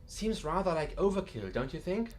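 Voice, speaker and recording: A man's voice: fairly high pitch, sing-song, normal speed, a little mellow. A man playing a genial, wry museum curator in an audiobook drama, chatty and dryly joking with a macabre humour. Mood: wryly amused.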